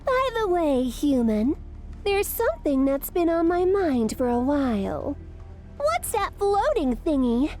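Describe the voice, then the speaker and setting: Give the vampire girl a tiny, very high voice that swings expressively between haughty and wide-eyed curiosity. Her delivery is unhurried and cutesy, with music playing behind her in anime dub style. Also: very animated, a little mellow. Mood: curious. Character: young female vampire dungeon boss, haughty, curious, easily excited.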